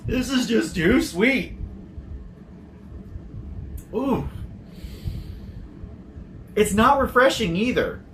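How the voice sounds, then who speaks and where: A man's voice: sits high, expressive, extremely slow, long pauses, a bit bright, slight background noise. A man casually reviewing sodas on his channel, chatty and prone to rambling tangents, with the odd swear word and no regional accent. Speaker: soda reviewer.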